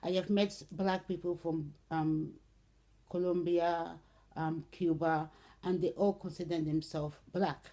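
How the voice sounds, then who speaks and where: A middle-aged woman from Brazil, speaking casually to camera with many "um"s and "okay"s, in an unhurried way. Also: on the low side, fairly even intonation, mellow. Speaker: vlogger. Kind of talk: casual spoken commentary to camera.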